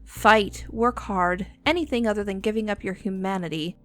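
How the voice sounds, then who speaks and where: A woman voicing a bossy, world-weary but protective female vampire in an ASMR roleplay, speaking in a haughty, condescending, deliberate way. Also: medium pitch, fairly bright tone, natural voice. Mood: scornful. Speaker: voice actor in an audio roleplay.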